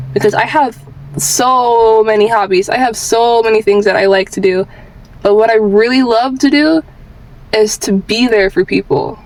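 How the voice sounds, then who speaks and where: A woman's voice: a little high, a little mellow, poor audio. A twenty-year-old woman vlogging with candid personal advice in an informal, rambling, earnest way, repeating phrases for emphasis.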